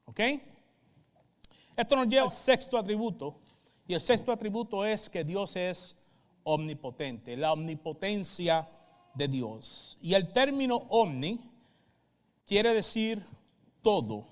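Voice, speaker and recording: A man with a high voice and a Puerto Rican accent delivers a sermon as a pastor. He teaches step by step, stopping for brief check-in questions. The sound carries the long reverb of a hall. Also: animated, slow pace, long pauses. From Puerto Rico. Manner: didactic, checks in with short questions.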